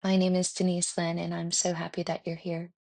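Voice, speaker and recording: Low-voiced woman guiding a meditation. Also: completely flat delivery, creaky, dry recording. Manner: gentle, soothing, unhurried with pauses. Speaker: guided meditation host. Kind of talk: guided meditation narration.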